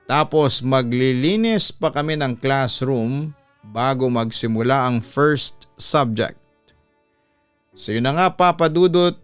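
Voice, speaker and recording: Male Filipino radio storyteller for scripted narration; slightly low, resonant voice. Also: clean tone, no room sound. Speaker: radio host reading listener letters. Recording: music behind the voice. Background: background music.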